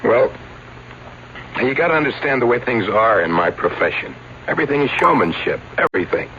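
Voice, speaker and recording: A man's voice: mid-range voice, big pitch swings, fairly quick, bright, forceful tone. A man playing a defensive showbiz agent explaining a publicity stunt in an old radio drama. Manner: earnest, defensive, showman's patter. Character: fast-talking showbiz agent, defensive and sheepish. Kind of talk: 1970s radio drama acting. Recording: dull old radio broadcast with hiss.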